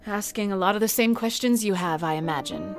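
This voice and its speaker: A woman playing a sultry, sharp-tongued nightclub singer and informant in a noir comedy audio drama, flirtatious and sardonic. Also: medium pitch, very animated, fairly quick, soft background music, natural voice. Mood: teasing, flirtatious. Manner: flirtatious, sardonic, wordplay-heavy banter.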